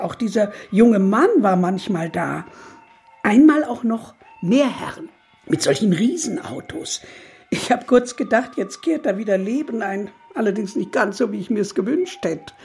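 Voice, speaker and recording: A woman playing a gossipy, lonely, unsettled neighbour in a German radio crime drama, chatty and creaky-voiced. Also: on the high side, animated, normal speed, darkish tone, soft background music. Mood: gossipy, wistful. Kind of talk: German radio play acting.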